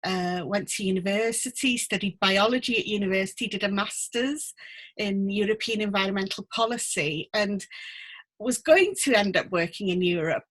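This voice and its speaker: A middle-aged woman from North Wales, a guest talking gently and thoughtfully over a video call, with many ums and restarts. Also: mid-range voice. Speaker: guest, book mentor. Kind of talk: casual interview chat. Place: home room with full bookcase.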